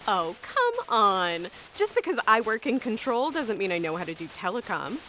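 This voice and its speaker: A woman's voice: medium pitch, very animated, normal speed, sharp tone, a little hiss or hum. An actress playing a playful, easygoing female technician in an audio drama, with a casual, teasing way of talking. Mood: playful, protesting. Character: playful, easygoing female control-room technician relaxing at a bar.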